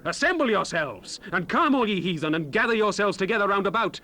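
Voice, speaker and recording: A man playing a solemn, fervent Bible prophet in a dramatized scripture recital, with grand, measured, declamatory phrasing. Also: high-pitched, very animated, sharp tone, exaggerated voice. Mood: fervent, commanding. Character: male prophet Joel proclaiming God's words, solemn and fervent. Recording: noisy unprocessed 1970s cassette rip.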